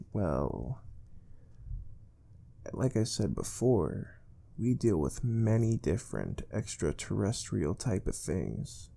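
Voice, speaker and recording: A man playing a calm, stern space research interviewer in a soft-spoken ASMR role play, measured and formal. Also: lowish voice, creaky, heavily acted.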